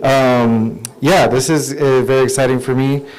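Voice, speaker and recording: Male writer, smooth resonant voice, halting and friendly, speaking to an audience. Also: mid-range voice, fairly even intonation, low-quality recording. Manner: hesitant, many fillers, friendly. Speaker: screenwriter. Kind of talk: casual introduction to a script.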